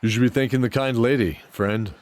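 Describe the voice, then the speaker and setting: A man's voice: mid-range voice, fairly quick, pitched above the performer's own voice, natural voice. A man voicing a courteous, grizzled knight in a fantasy audio drama, with formal, encouraging speech. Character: courteous, chivalrous grizzled male knight warrior. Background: music.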